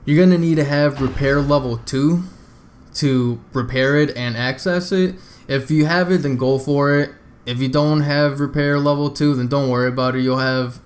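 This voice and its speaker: A man's voice: medium pitch, slight background noise. A man narrating a video game walkthrough in an informal, easygoing, explanatory way. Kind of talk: casual game walkthrough commentary.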